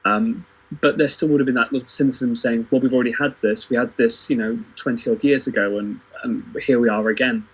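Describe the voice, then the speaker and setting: A man talks in an unscripted group discussion. His voice is mid-pitched and husky, and he often doubles back on his phrasing as he feels out a point conversationally. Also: fairly even intonation, fairly quick, rough recording. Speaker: theatre company performer on a livestream panel. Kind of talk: casual unscripted panel discussion.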